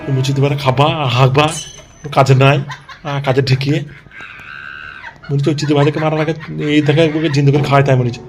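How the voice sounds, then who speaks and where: A man playing a vengeful, irritable, foul-mouthed politician boss in an amateur comedy cartoon, gruff and scolding. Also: medium pitch, flat delivery, quick pace, long pauses, faint music behind, close to an everyday voice.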